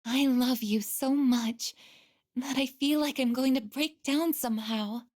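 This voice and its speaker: Game role: gentle female android, tender and hesitant, very unhurried, lightly raised pitch, earnest devotion. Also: fairly even intonation. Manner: soft, hesitant, deliberate, tender. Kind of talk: video game voice acting, emotional dialogue.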